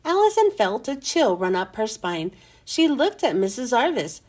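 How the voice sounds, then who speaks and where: A woman's voice: a little high, sing-song, normal speed. A woman hosting a children's read-aloud, narrating a storybook expressively.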